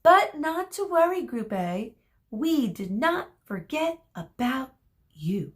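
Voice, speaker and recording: Woman acting out a theatrical, slow, menacing schoolteacher. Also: high-pitched, big pitch swings, pitched above the performer's own voice, full character voice. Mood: mock reassuring. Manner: drawn-out dramatic pauses, exclaiming.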